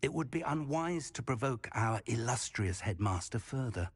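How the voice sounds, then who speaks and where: Husky, wry older professor. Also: male voice, mid-range voice, animated. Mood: exasperated. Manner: formal, measured, wry. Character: older male professor, courteous, wry, long-suffering. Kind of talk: video game character acting.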